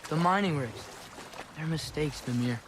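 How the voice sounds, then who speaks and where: A man's voice: fairly high pitch, normal speed, heavy background noise, lightly acted. An actor voicing a caring, earnest teenage boy adventurer in a video game, with a youthful manner. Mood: earnest, consoling.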